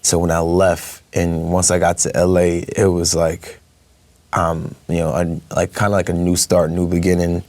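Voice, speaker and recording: A young man speaks, his voice sitting low. He talks casually as an interview guest, hesitating often with fillers and laughing easily. His voice sounds dry and close. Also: completely flat delivery, moderate pace, darkish tone, no room sound. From Brooklyn, New York. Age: young adult.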